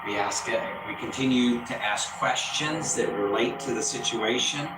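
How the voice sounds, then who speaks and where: A man's voice: mid-range voice, normal speed. A man teaching a classroom lesson, speaking plainly and repeating himself as he drills his students. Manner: repetitive, drills students with call-and-response. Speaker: English teacher. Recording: echoey classroom from far off, noisy.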